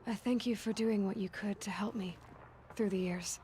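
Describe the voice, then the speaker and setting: A woman as a frank young warrior, mid-pitched. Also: fairly even intonation, moderate pace, mellow, a little hiss or hum, natural voice. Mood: subdued, sincere. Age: young adult. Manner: direct, probing. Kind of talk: naturalistic video game dialogue.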